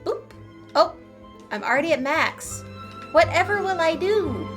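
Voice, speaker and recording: A woman's voice: very high-pitched, expressive, measured pace, fairly bright tone. A woman giving casual, playful game commentary, talking to herself and tossing in little sound words. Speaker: gaming streamer. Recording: game music behind the voice. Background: video game music.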